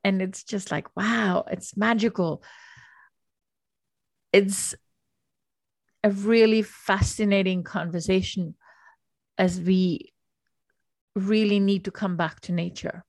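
An older woman from Austria hosts a podcast conversation in a voice of middling pitch. She speaks earnestly and with thoughtful enthusiasm. The sound is dry and close to the microphone. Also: steady tone, slow, vocal fry, long pauses, no echo. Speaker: podcast host. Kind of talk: casual interview conversation.

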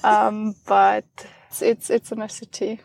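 A woman from Austria, a guest on an interview and an English student. She chats in a relaxed, friendly way and pauses often with fillers. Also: medium pitch, vocal fry, no room sound, soft background music, poor audio. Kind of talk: casual interview chat.

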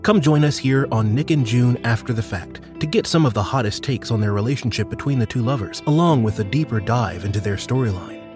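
A man with a mid-pitched voice reads a scripted podcast promo. He narrates as an announcer, with music clearly playing behind him. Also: brisk, hardly any pauses.

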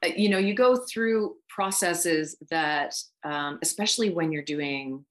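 A woman's voice: lowish voice, a little slow. A middle-aged woman, a voice actor, talking informally as the guest in a casual interview. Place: home recording space, remote.

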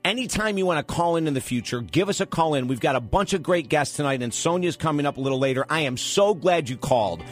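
A man's voice: on the high side, expressive, fast, hardly any pauses, faint music behind. A man hosting a live radio talk show from a studio, talking in an upbeat, enthusiastic way and repeating points for emphasis. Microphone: studio microphone.

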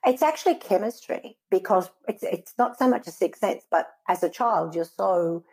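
A woman from Israel, a guest in an interview, giving earnest, measured answers and often pausing to search for words. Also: mid-range voice, darkish tone, breathy edge.

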